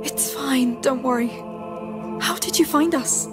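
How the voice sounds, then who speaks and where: A woman's voice: high-pitched, unhurried, over music. A woman playing an earnest, protective teenage girl in a video game cutscene. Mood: earnest, hopeful.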